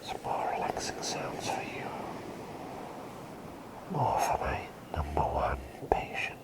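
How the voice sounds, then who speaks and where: A man playing a gentle, attentive plague doctor in an ASMR roleplay, speaking in a breathy, soothing whisper with a polite, slightly hesitant formality. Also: very deep voice, huge pitch swings, slow pace, heavily acted. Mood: soothing, calming.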